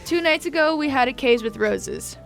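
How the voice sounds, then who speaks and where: Folksy cafe waiter played by a woman, high-pitched, familiar and consoling, world-weary, for radio comedy. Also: lively intonation, moderate pace, gravelly, fairly bright tone, soft background music, heavily acted. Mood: chatty. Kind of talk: old-time radio romantic comedy acting.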